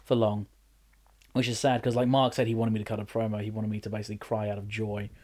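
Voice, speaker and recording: A man's voice: lowish voice, fast talker. Chatty man telling stories. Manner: animated storyteller, many restarts. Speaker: wrestler and general manager. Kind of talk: casual podcast chat.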